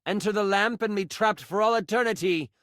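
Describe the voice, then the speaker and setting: Man voicing an imperious, high-pitched enchantress villain. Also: a bit bright, no room sound, clean studio sound. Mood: commanding, menacing. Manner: grandiose, commanding, drawn-out threats. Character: imperious, power-hungry female enchanter villain in fantasy game.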